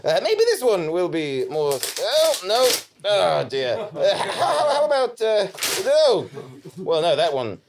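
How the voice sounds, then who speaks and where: A man's voice: sits high, huge pitch swings, slow, noisy background, heavily acted. A man on a small theatre stage playing a bumbling, nervous hero in a comic audio drama, stammering and flustered. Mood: self-narrating. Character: bumbling, nervous hero posing as a monster bear. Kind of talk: live comedy audio drama performance. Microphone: microphone on a stand.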